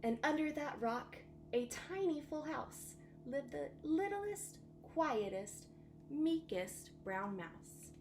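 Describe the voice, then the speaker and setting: A woman teacher reading a children's bedtime story aloud with expressive, dramatic emphasis. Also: sits high, slow, faint music behind. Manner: animated, dramatic emphasis for young listeners.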